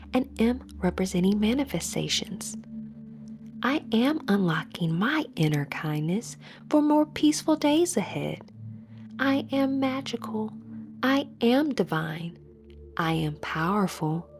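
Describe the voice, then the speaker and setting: A woman leading a guided meditation as host, giving measured, soothing instructions in a soft, breathy voice that trails toward a whisper. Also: medium pitch, a little hiss or hum.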